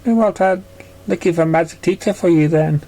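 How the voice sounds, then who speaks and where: Man as a patient grown mare pony, raised pitch. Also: brisk, warm tone, rough recording. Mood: reassuring. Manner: reassuring, patient. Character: kind grown mare pegasus, reassuring caretaker.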